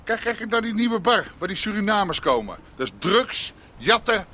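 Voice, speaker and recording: An actor playing a stubborn, principled, ageing Amsterdam underworld boss in a radio drama, blunt and halting, with an Amsterdam accent. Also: male voice, sits high, sing-song, bright, forceful tone, full character voice. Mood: angry, defiant. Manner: blunt, halting, repeating words. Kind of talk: naturalistic radio drama dialogue.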